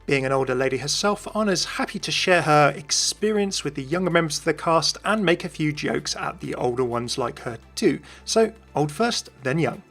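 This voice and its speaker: A man's voice: a little high, lively intonation, normal speed, few pauses, fairly bright tone, soft background music. A man hosting a video, reading scripted narration. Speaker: video host.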